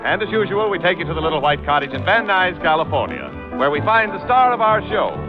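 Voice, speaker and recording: Loud music sits behind a man on a muffled old radio broadcast. He announces in a scripted, vintage show-opening style. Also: fairly high pitch, big pitch swings, quick pace.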